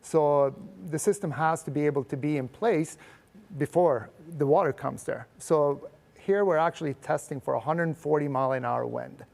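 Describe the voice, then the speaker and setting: A man's voice: a little high, moderate pace, vocal fry, a little mellow. A middle-aged man from Sweden, a company guest explaining steadily in a casual TV interview.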